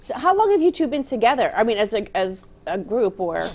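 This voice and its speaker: A woman's voice: a little high, very animated, a little fast. A woman hosting a studio talk show, upbeat and chatty, laughing often as she asks rambling questions. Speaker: host. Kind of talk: casual studio chat. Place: radio and TV studio.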